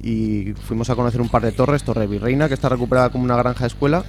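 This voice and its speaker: Guy from spain on a radio interview, medium pitch, lots of ums, faint music behind. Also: fairly even intonation, quick pace, a little mellow. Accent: Spanish (Spain). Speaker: interview guest, activist. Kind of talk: spontaneous interview talk.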